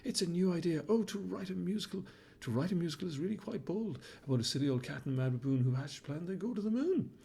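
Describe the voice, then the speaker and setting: A man putting on a flamboyant mad baboon, husky and high. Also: expressive, fast talker, warm tone, exaggerated voice. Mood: grandiose. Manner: pompous, sing-song rhyming, fussy and dramatic. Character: flamboyant fashion-mad male baboon, grandiose and theatrical. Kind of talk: storybook character voice.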